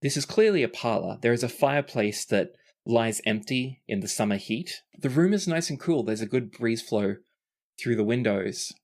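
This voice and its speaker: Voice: mid-range voice, normal speed, a little mellow. A game master and host narrating a tabletop roleplaying podcast in a descriptive way, sometimes self-correcting.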